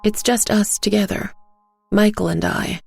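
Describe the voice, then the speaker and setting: A woman narrating a scripted dark story in the first person, in a measured, deliberate way. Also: medium pitch, fairly even intonation, creaky, husky, faint music behind. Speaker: narrator of an audio fiction story.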